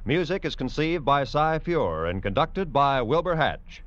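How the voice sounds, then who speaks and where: A man's voice: on the high side, lively intonation, a little slow, background noise. A man reading scripted credits as a formal, polished mid-century radio announcer. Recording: dull old radio broadcast.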